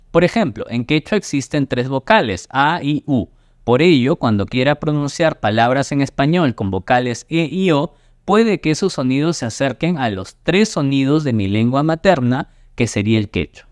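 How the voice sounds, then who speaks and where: A man's voice: medium pitch, dry recording. Man explaining, dry close sound. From Peru. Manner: clear, measured, didactic. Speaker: educational video host. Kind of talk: scripted explainer narration.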